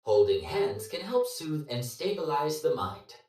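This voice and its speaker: A man's voice: on the high side, a little slow. A man playing a literal-minded robot support companion in a video game, formal and clinical in wording. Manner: flat-worded, formal, literal. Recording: distant, heavily echoing voice.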